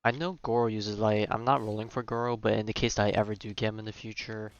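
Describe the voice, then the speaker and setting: A man giving casual live-stream commentary on a video game, thinking out loud and reading game text in muttered asides. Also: fairly low pitch, fairly even intonation, quick pace, smooth, highs cut off.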